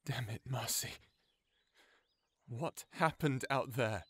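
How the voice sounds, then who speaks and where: A man's voice: mid-range voice, slow, a bit bright, breathy edge, dry recording, exaggerated voice. An actor playing a protective male settlement co-leader in a full-cast audiobook, speaking steadily and resolutely. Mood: worried.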